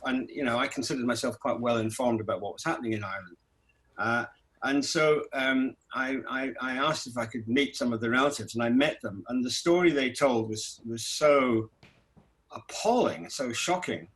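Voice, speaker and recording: A middle-aged man who grew up in Scotland, a documentary director answering festival questions, talking in a halting way with many restarts. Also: mid-range voice, a bit bright, rough recording. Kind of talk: festival Q&A interview.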